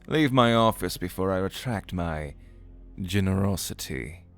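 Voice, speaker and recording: A man's voice: low voice, animated, unhurried, fairly bright tone, faint music behind, heavily acted. A man playing a haughty, menacing academy fraternity president in an audio roleplay, speaking in a cold, imperious manner. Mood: gloating, dismissive.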